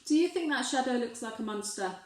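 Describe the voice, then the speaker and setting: A woman reading a storybook expressively to young children, pausing to put gentle questions to the listeners. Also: on the high side, animated, echoey room, background noise.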